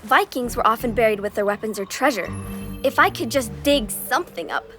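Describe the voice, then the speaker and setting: A bright-eyed teenage girl in an audio drama, hooked on history and pushing her theories eagerly. Her voice sits high, with faint music beneath. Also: brisk. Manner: excited and insistent.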